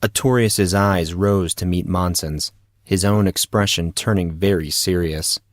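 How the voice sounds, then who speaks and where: A man's voice: low voice, unhurried, no room sound, studio quality. A man reading scripted fiction narration as the storyteller of an audio drama.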